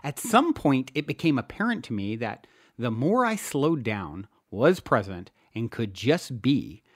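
Man narrating self-help with lively, swooping intonation. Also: mid-range voice, measured pace, dry recording, clean studio sound. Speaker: podcast host and author reading own audiobook. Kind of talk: scripted audiobook narration of self-help book.

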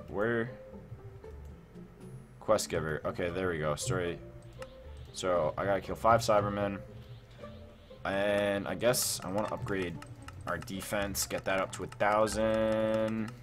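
A man hosting a casual gaming let's play, thinking aloud in an excitable, repetitive way. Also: deep voice, slow pace, long pauses. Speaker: gaming video host. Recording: music behind the voice.

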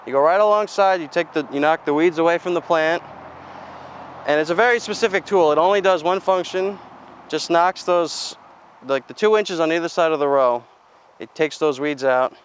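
A man's voice: fairly high pitch, moderate pace, slight background noise. A young man, a farmer giving a casual outdoor walkthrough of his equipment, talking plainly with lots of fillers and restarts. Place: outdoors in a farmyard.

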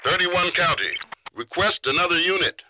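A man playing an urgent highway patrol officer in a video game, calling in with clipped phrases over police radio. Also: expressive, moderate pace, noisy background.

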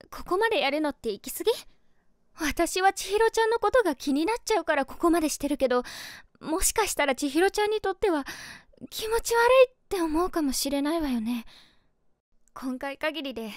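A woman playing a caring young female streamer who is secretly smitten, musing gently in a feminine way in a voice drama. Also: high voice, no echo, clean studio sound, lightly acted. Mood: worried, doubtful. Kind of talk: Japanese voice drama monologue.

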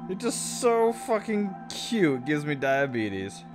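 A man's voice: fairly high pitch, sing-song, slow pace, over music. A man doing casual let's play commentary, sarcastic and often muttering to himself. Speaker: gamer, let's play host.

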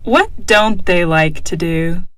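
A woman reading short lesson phrases slowly and clearly, as if for repetition. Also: on the low side, a bit bright, no room sound. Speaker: dialogue reader. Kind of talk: slow, drilled language-lesson phrases.

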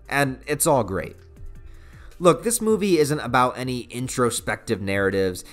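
A man in his thirties with an American accent narrating a casual, opinionated review in an informal, enthusiastic, slangy way. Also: mid-range voice. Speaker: video reviewer. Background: background music.

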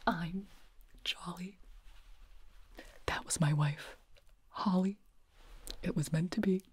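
A woman's voice: fairly low pitch, slow pace, a little mellow, breathy edge, heavily acted. Woman whispering as Jolly, a proud folksy elf dad. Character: cheerful proud elf father, friendly baker. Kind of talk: whispered ASMR roleplay.

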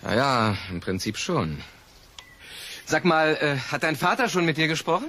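Animated, fairly high-voiced man. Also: normal speed, heavily acted. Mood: brisk, friendly. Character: busy adult man hosting a young film crew.

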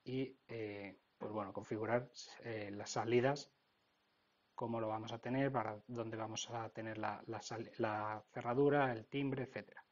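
Dull-sounding Spanish man explaining software. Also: fairly low pitch, fairly even intonation, measured pace. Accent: Spain Spanish. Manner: explanatory, often checking with "vale?". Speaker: technical instructor. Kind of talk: informal software tutorial narration.

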